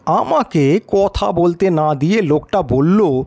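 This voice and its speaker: A man's voice: on the high side, lively intonation, takes it slowly, darkish tone, no echo, exaggerated voice. An actor playing a timid, bewildered male writer who narrates his own tale, hesitant and questioning. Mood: hesitant, wondering. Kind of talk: first-person audio story narration with acted dialogue.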